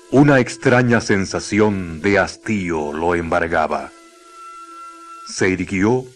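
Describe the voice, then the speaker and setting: Male radio drama narrator, low voice, very measured, solemn, faint music.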